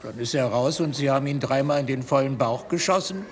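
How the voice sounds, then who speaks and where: An actor playing a chatty, shady middle-aged gambler with a sentimental streak, colloquial and theatrical in a German radio drama. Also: male voice, mid-range voice, fairly quick, close to an everyday voice. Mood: storytelling. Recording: reverberant room, faint ambient noise.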